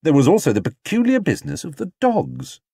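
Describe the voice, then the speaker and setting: A man's voice: a little high, big pitch swings, fairly quick, creaky, warm tone, slightly rough, no room sound, studio quality. A man reading a scripted children's story as an audiobook narrator.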